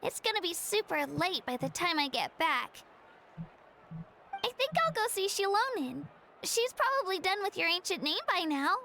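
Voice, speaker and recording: A woman's voice: extremely high pitch, big pitch swings, moderate pace, pushed and bright, a little hiss or hum, full character voice. A woman voicing a cheerful, sweet, eager young girl in a video game, bubbly and friendly.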